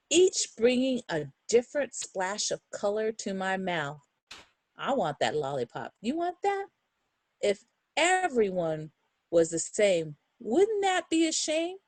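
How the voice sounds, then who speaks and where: A woman reading a picture book aloud to young children, expressive and encouraging, with chatty asides and questions to her listeners. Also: medium pitch, no room sound. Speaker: children's storybook reader.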